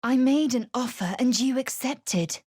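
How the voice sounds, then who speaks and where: A woman's voice: sits high, measured pace, a little mellow, slightly rough, dry recording, clean studio sound. An actress voicing a proud, fierce female githyanki warrior in a video game, harsh, scornful and commanding. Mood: cold. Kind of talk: game voice lines.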